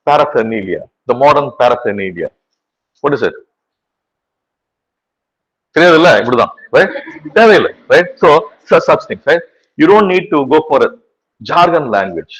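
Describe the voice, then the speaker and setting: A man's voice: on the high side, big pitch swings, rapid-fire, long pauses, husky. A middle-aged Tamil man with Indian English accent teaching a class, tagging "right?" onto his points and asking rhetorical questions. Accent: Tamil-influenced Indian English. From Tamil Nadu, India. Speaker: coaching teacher. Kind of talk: classroom lecture. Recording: muffled lo-fi classroom recording.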